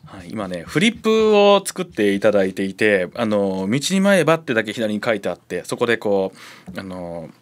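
Male talk show host, quick pace, animated pitch, casual and interested.

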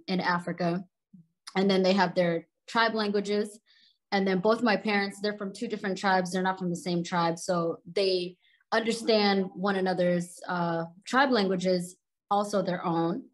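A woman's voice: on the low side, low-quality recording. A woman in her thirties from Sudan, a native Arabic speaker, chatting as an interview guest and laughing easily as she tells her story. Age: 30s. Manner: chatty, laughs while telling stories.

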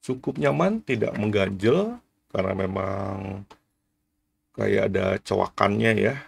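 Gravelly low-voiced man. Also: unhurried. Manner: frequent "ya" tags, explanatory. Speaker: vlogger reviewing gear. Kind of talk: casual product review to camera.

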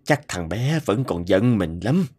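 A friendly old cartoon man mutters in bewilderment. His pitch moves in lively swings over clear background music. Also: measured pace. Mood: puzzled.

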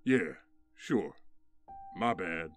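An actor playing a blunt, exasperated vigilante's teammate in an audio drama, forceful and accusing. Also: male voice, low-pitched, expressive, extremely slow, faint music behind, exaggerated voice. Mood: sullen.

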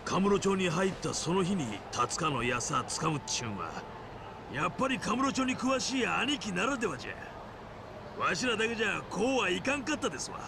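An actor playing a brash, lecherous middle-aged yakuza boss with a Hiroshima accent and a gravelly voice, in a video game. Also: male voice, sits high, a little fast, noisy background, full character voice. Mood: admiring. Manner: blustering, exaggerated, animated. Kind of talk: video game cutscene acting.

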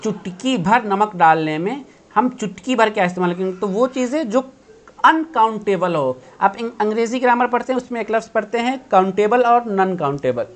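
A man's voice: sits high, lively intonation, normal speed. Man patiently teaching grammar online. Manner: patient explaining, repeats key terms, asks and answers own questions. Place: indoor room.

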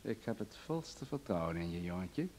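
An actor playing an irascible, domineering wealthy boss in a Dutch radio drama, gruff and imperious, with a husky voice. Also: male voice, low voice, big pitch swings, moderate pace, darkish tone. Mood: evasive. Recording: reverberant old radio play recording.

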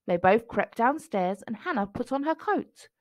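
A woman narrating a children's picture book expressively, phrasing clearly for young listeners. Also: on the high side, expressive, normal speed, no room sound.

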